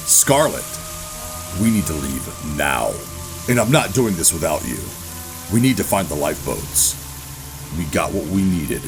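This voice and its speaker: An actor playing a gruff, guarded covert operative who narrates a sci-fi horror audio drama in a brooding, deliberate way. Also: male voice, extremely low pitch, full character voice. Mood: urgent. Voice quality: gravelly. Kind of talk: first-person scripted audio drama narration and dialogue.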